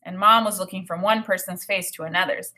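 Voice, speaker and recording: A woman reading a children's story aloud in an expressive narrating style. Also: lowish voice, brisk.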